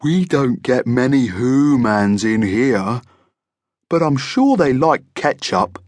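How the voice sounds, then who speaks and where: A man's voice: medium pitch, expressive, slow pace, a little mellow, no echo. Guy doing a big friendly monster for a kids story, chatty and proud, swoopy voice. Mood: curious. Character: huge friendly chatty hairy green male monster, diner owner.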